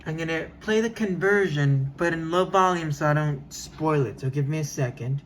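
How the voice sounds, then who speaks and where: A person giving a casual hands-on demo to viewers, talking haltingly while working. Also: mid-range voice, a little hiss or hum. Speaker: video demonstrator.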